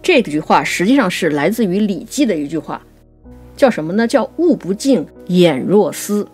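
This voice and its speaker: Female poetry co-host, lowish and very expressive, explanatory conversational delivery, music underneath. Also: breathy edge. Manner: explanatory, recites verse slowly. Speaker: writer and co-host. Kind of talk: conversational talk on classical poetry.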